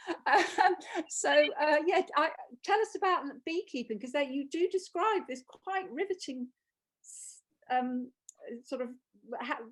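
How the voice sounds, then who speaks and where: A woman's voice: sits high, animated, unhurried, poor audio. A woman moderating a public conversation, relaying questions in an informal, chatty way and often breaking off mid-thought. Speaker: interviewer, event moderator.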